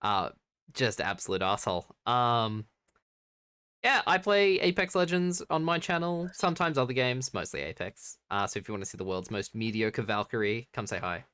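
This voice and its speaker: A man's voice: mid-range voice, moderate pace, bright, forceful tone, smooth, no room sound. A man playing in a tabletop game, talking in a crude, joking way. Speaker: player.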